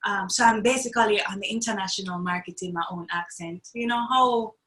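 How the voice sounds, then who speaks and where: A woman's voice: medium pitch, brisk, fairly bright tone. A young adult woman with a Jamaican accent, talking animatedly as a guest in an interview and laughing often. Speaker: actress, guest. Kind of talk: interview conversation. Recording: echoey room.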